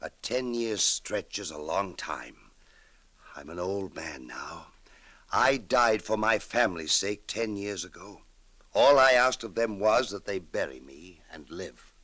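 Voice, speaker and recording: A man's voice: low voice, lively intonation, a little slow, a bit bright, full character voice. An actor playing a remorseful, aging ex-convict father, pleading dramatically in old-time radio melodrama style. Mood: bitter remorse.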